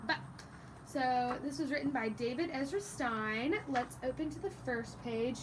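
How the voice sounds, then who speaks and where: High-voiced woman teaching through a read-aloud. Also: measured pace, background noise. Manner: animated, exaggerated, prompting listeners with questions and praise. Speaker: teacher reading a picture book aloud to young pupils. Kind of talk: interactive read-aloud lesson for children.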